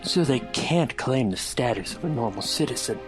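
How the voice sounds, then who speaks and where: A man playing a somber, guarded adult hedgehog with a grave, measured delivery in a fan fiction audio drama. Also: mid-range voice, animated, music in the background, lightly acted.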